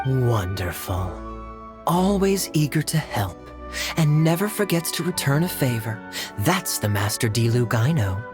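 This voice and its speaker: A playful young cavalry captain from a fantasy game, all smooth persuasion and sly theatrics. The pitch swings freely from phrase to phrase, with music playing underneath. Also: male voice, very animated, unhurried. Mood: wry, courteous. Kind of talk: scripted video game voice acting. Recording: background music under dialogue.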